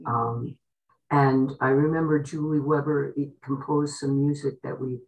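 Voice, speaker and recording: A woman reminiscing in a halting, reflective way during a group video call. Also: extremely low pitch, fairly even intonation, a little mellow, highs cut off. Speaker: former member of a women's arts colony. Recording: video call, distant microphone.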